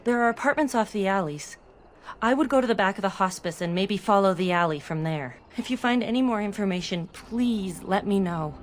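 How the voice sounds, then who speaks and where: A woman's voice: mid-range voice, lively intonation, brisk, slight background noise, close to an everyday voice. An actress playing a worried, determined townswoman in a fantasy game, earnest and urgent. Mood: insistent, determined. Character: worried, determined female city-dweller seeking missing people.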